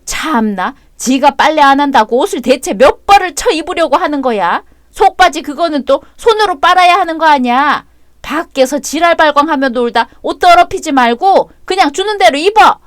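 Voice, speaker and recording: Woman playing a stern old granny in a dry voice, gruff and nagging, coarse scolder. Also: high-pitched, animated, moderate pace, dry recording. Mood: scolding.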